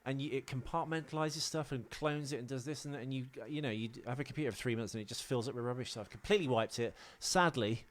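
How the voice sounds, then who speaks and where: A man's voice: mid-range voice, fast talker, few pauses. A man co-hosting a podcast in casual, joking chat, with a rambling, self-deprecating manner. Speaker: podcast co-host.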